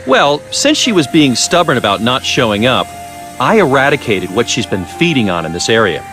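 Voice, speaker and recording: An actor playing a clever, genial scientist in a video game, chatting and lecturing in an unruffled way. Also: male voice, medium pitch, big pitch swings, normal speed, music in the background, natural voice. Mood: pleased, sly. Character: clever, genial, sly male scientist doctor. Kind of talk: English video game voice acting.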